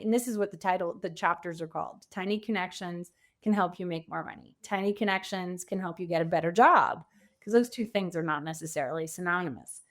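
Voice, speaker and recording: A woman speaking conversationally as a podcast guest and coach, telling stories in an animated way with bits of quoted dialogue. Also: on the low side. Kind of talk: conversational interview.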